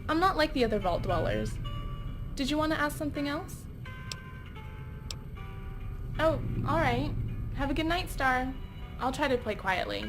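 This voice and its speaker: Music behind, woman playing young vault girl, game character, highish voice, guarded, confiding, conversational. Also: lively intonation, normal speed. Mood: awkward. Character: guarded young vault girl curious about her family. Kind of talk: video game mod dialogue acting.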